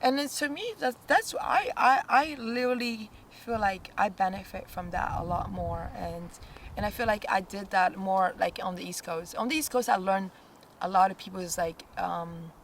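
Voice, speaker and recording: A woman's voice: mid-range voice, a little hiss or hum. A young adult woman from Africa co-hosting a podcast, rambling casually with lots of fillers. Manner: rambling, frequent "like", quick laughs. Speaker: podcast co-host.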